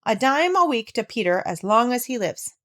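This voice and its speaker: A woman voicing a kindly, generous old grandmother in a storybook, speaking warmly and firmly to a child. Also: a little high, sing-song, moderate pace, voice pushed up. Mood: generous, amused. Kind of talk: storybook read-aloud.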